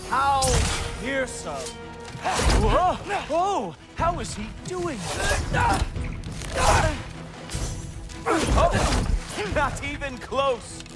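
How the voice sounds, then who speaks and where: A condescending, mocking god standing guard in a fantasy video game. His voice is high for a man and theatrical, drawn out slowly with sneering sarcasm. Loud music plays behind him. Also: big pitch swings, exaggerated voice. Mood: mocking, gleeful. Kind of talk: video game dramatic acting.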